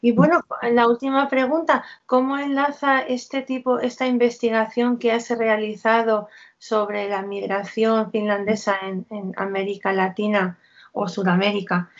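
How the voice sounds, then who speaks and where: A woman's voice: fairly high pitch, level tone, unhurried. Engaged woman podcast interviewer. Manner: engaged, enthusiastic questioning. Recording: slightly lo-fi.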